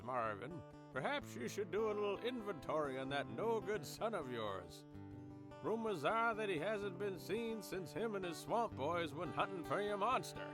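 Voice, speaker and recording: A man playing a smug, corrupt small-town lawman with a folksy, taunting drawl in an audio drama. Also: high-pitched. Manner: drawling, folksy, taunting, calls himself by name.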